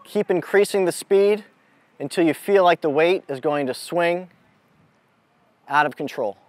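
A man speaking as a mental toughness coach, giving a deliberate, instructive motivational lesson to a group out in the open. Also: fairly high pitch, no echo. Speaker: mental toughness coach leading a youth clinic. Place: outdoors on an amphitheater lawn.

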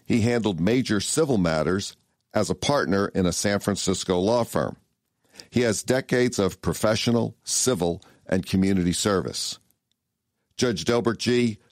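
American man, podcast host, very low voice, dry sound, conversational questions. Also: no room sound. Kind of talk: conversational interview.

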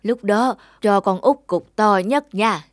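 A man's voice: high-pitched, slow pace, dry recording, clean studio sound. An actor playing a kindly, reassuring old grandfather in a cartoon dub. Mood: tender, weary. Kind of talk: cartoon dubbing. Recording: music behind the voice.

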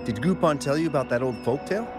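Actor as animated, friendly young mine worker man. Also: a little high, fast talker, close to an everyday voice. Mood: puzzled, nervous. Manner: expressive, conversational, hesitant in places. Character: friendly, jumpy young male mine worker, eager witness. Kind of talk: video game dialogue, recounting events. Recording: background music under the voice.

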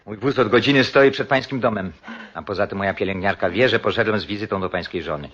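A man's voice: lowish voice, fast talker, a bit bright, slightly rough. An actor playing a self-serving, calculating doctor in a radio play, commanding and cynical as he reasons. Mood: urgent, calculating. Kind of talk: Polish radio play acting. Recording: muffled radio-play recording with background noise.

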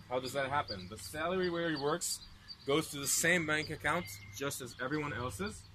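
A young tour guide talks to a seated group outdoors, explaining things and asking them questions. His voice is mid-pitched and close to the camera. Birds and traffic hiss behind him. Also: a bit bright. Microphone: handheld camera held close by the filmer. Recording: outdoor noise, birds and passing vehicles.

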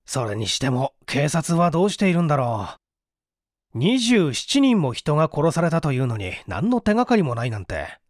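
A man voicing a gruff, dismissive husband and father with blunt, brusque speech. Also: on the high side, wildly swooping pitch, moderate pace, dry recording, studio quality.

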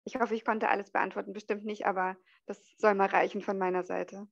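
A woman's voice: medium pitch, flat delivery, fairly quick, creaky, highs cut off. A woman speaking earnestly as a panel guest over a video call. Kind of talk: online panel discussion.

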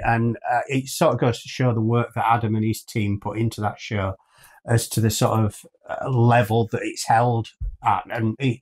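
A man's voice: mid-range voice, darkish tone. Middle-aged British man chatting. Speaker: co-host. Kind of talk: casual chat. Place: small furnished room, indoors.

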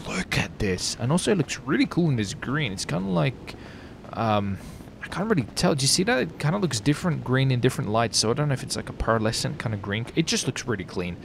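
A man's voice: mid-range voice, very animated, fast talker, gravelly, slight background noise. A man giving casual, slangy gameplay commentary as a gaming video host, hyping things up with exclamations.

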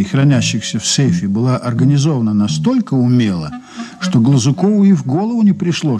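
An actor playing a composed investigator in a radio play, measured and dryly ironic. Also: male voice, a little high, mellow. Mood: measured, wry. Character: composed, wry male investigator recounting an old case. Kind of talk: radio drama dialogue and narration.

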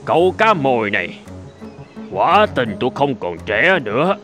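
A man's voice: mid-range voice, big pitch swings, a little slow, fairly bright tone, soft background music. A man voicing an old, ailing, remorseful male sea hawk in a cartoon fable, weary and plaintive. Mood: humble, remorseful. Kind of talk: animated fable voice acting.

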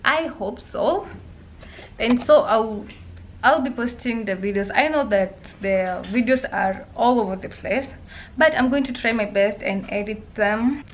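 A woman's voice: fairly high pitch, measured pace, roomy sound, long reverb tail. A young woman vlogging casually to a handheld camera held at arm's length, chatty and rambling, often restarting her phrases. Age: young adult. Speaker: travel vlogger. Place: hotel rooms, a car and outdoors. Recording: handheld camera audio with background noise.

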